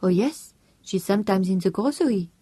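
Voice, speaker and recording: A woman's voice: medium pitch, expressive, a little fast, dark tone, breathy edge, no room sound. A woman reading an audiobook voices a fussing older housekeeper, formal and eager to please. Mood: helpful.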